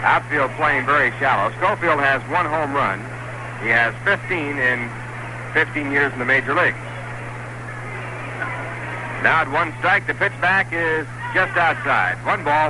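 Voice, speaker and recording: A man doing live play-by-play for a baseball game on the radio, working statistics in between the pitches. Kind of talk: live radio sports commentary. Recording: hissy 1960s AM radio broadcast recording.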